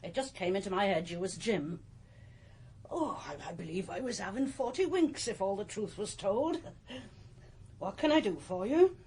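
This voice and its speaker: A woman's voice: mid-range voice, lively intonation, normal speed, a little mellow, slight background noise, close to an everyday voice. An actress playing a kindly, plain-spoken old country landlady in a radio drama, with an English accent and a homely, good-humoured, matter-of-fact manner. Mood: drowsy, startled. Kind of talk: naturalistic radio drama acting.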